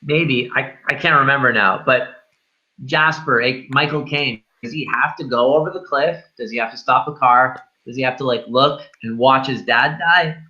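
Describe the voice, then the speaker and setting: A man's voice: mid-range voice, moderate pace, bright, forceful tone, highs cut off. A man hosting a casual film discussion, feeling his way through ideas in a searching, repetitive, sweary manner. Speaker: film review show host.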